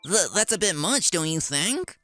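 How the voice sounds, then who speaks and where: Man acting a cocky, sneering office bully, swooping pitch. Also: very animated, normal speed, bright, forceful tone, dry recording, faint music behind, exaggerated voice. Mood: flustered. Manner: sneering, taunting, stammers when cornered. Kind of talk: comic dub voice acting.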